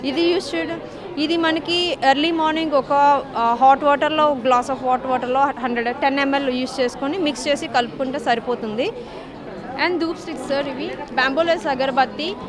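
Woman, stall vendor, high pitch, eager sales pitch, loud crowd. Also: fairly even intonation, normal speed. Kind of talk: product pitch. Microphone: handheld mic. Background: crowd chatter.

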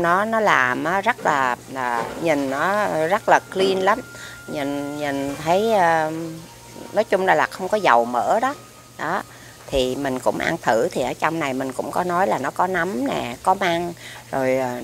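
A woman's voice: deep voice, creaky. A middle-aged woman with a Southern Vietnamese accent chats casually to the camera at a restaurant table, filling her talk with fillers and repeated words. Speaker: food vlogger. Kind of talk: casual food review to camera. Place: restaurant table by a window, indoors. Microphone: camera across the table.

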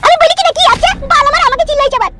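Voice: very high-pitched, very fast, heavy background noise. A performer voicing a plaintive, complaining young woman in a comedy cartoon. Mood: annoyed. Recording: loud music under the voice.

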